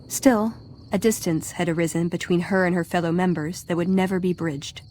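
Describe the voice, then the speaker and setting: A woman playing a composed, measured narrator in a video game epilogue. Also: on the low side, fairly even intonation, darkish tone, close to an everyday voice. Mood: reflective.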